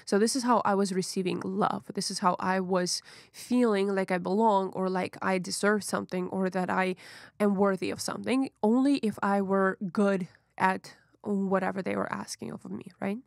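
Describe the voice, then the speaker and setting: A young Russian woman, a psychologist and podcast host, telling her life story in an open, unhurried way with frequent fillers. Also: medium pitch, steady tone, gravelly, dry recording, clean studio sound.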